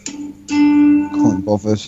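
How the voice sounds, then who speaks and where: A man giving short, deadpan, offhand answers in a casual joking chat. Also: on the low side, drawn-out words, lo-fi sound, loud music behind the voice. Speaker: interviewee, amateur story writer.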